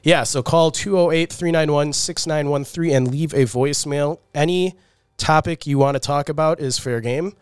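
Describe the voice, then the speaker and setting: A man's voice: a little high, normal speed, clear, resonant tone, dry recording, clean studio sound. A man co-hosting a podcast in casual chat, a little congested from a cold, talking loosely with many fillers. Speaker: podcast co-host.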